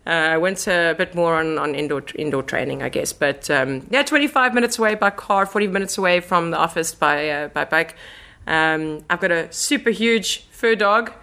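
A woman's voice: lowish voice, lively intonation, normal speed, pushed and bright. A woman with a South African accent, chatting informally as a guest in a casual podcast interview. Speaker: interview guest, marketing manager.

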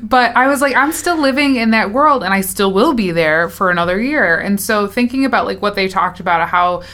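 A woman's voice: mid-range voice, expressive, fairly quick, fairly bright tone. A woman in her late twenties co-hosting a podcast in casual chat, talking with lots of fillers and laughter. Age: 20s. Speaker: podcast co-host.

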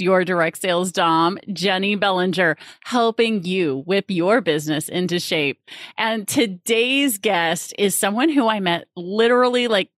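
A woman's voice: medium pitch, a bit bright, no echo, clean studio sound. A woman hosting a podcast interview in an upbeat way, laughing easily. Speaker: podcast host.

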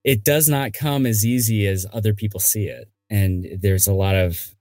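Man, podcast host, lowish voice, dry close sound, relaxed, encouraging. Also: normal speed, dry recording. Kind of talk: conversational interview.

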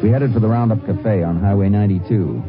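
An actor playing a steady, dogged Texas Ranger who narrates and questions suspects in a firm, measured way in a 1950s radio drama. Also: male voice, on the low side, loud music behind the voice, natural voice. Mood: calm narration. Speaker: narrator and lead character. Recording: tinny old radio broadcast.

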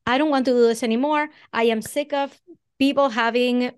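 A woman's voice: a little high, fairly even intonation, moderate pace, clear, resonant tone. A woman from Venezuela with a Venezuelan Spanish accent, hosting a podcast and asking long, winding interview questions. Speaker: podcast host. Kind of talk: conversational interview.

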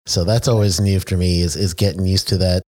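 A chatty, friendly man from the United States with a lowish voice. He talks easily and laughs often, recorded dry and close. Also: fairly even intonation, fairly quick, darkish tone, no echo. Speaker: interviewer, safety trainer. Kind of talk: conversational interview.

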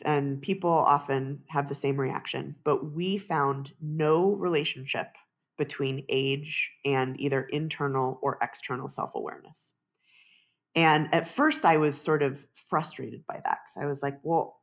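Guest author, measured explainer, restarts often, steady middle pitch. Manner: thoughtful, explanatory, with frequent restarts. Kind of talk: conversational interview answers.

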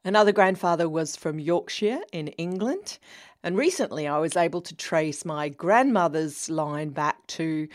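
An Australian woman in her fifties with a slightly low voice talks through her own podcast episode. She speaks in an easy, chatty way, as if sitting down with a listener over coffee. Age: 50s. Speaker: podcast host. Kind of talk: casual solo podcast monologue.

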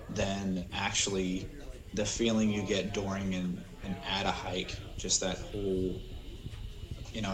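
A man giving a casual, candid interview as a guest. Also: low-pitched. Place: busy echoey lounge. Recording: echoey space with crowd chatter.

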